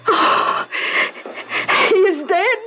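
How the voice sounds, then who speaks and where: An actress playing an anguished, deferential Mexican wife in a radio drama, with a Mexican Spanish accent and hesitant, formal phrasing. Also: female voice, extremely high pitch, animated, very slow, exaggerated voice. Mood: hysterical. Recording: dull radio recording with faint noise.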